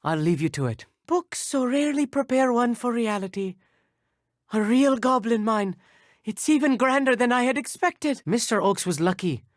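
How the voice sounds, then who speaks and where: A man's voice: very high voice, lively intonation, normal speed, dry recording, clean studio sound. A young man voicing an eager, bookish teenage schoolboy wizard in a video game, articulate, formal and slightly pompous, nervous but boastful. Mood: bookish, chatty. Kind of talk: video game character dialogue.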